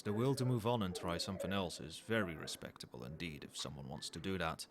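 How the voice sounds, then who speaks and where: Cold, demanding male CEO, played by a man, ASMR roleplay: low register, measured businesslike delivery, audible background noise. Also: a bit bright, full character voice. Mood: composed, businesslike. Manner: formal, measured, businesslike, faintly suggestive. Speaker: voice actor in an audio roleplay. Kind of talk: ASMR roleplay, one-sided dialogue to the listener.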